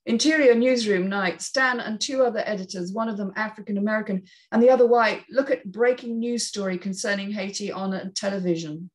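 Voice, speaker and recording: A woman's voice: mid-range voice, fairly quick, hardly any pauses. A woman narrating stage directions at a screenplay table read, in a scripted, read-aloud style.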